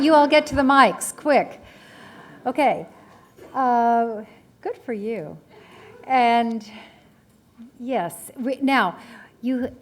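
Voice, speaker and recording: Woman moderator, joking, bossy instructions, slow, fairly high voice. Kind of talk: running audience questions.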